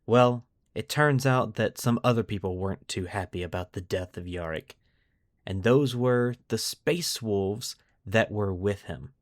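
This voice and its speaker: A man's voice: on the low side, unhurried, dry recording. Man hosting a podcast, dry close sound. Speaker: podcast host. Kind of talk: lore storytelling, semi-scripted narration.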